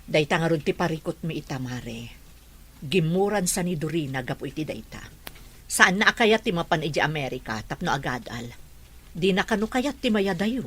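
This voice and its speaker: Fretful filipino mom in a radio drama, easily alarmed, pitch all over. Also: female voice, expressive, normal speed, a bit bright, husky, heavily acted. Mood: troubled. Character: worried, easily alarmed mother of a wayward daughter. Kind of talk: Ilocano radio drama acting.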